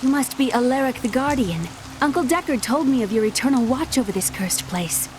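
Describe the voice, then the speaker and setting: A woman's voice: sits high, expressive, fairly quick, a little mellow, soft background music. A young woman voicing an earnest, curious scholar with a dry wit. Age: young adult.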